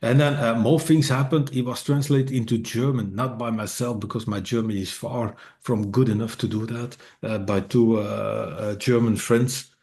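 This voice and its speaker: A man's voice: mid-range voice, fairly even intonation, normal speed, hardly any pauses, a little mellow. A middle-aged man whose first language is Dutch gives a casual presentation to an online meetup in English, hesitant and full of fillers, with a wry self-deprecating streak. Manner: hesitant, many fillers and restarts. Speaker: author and speaker.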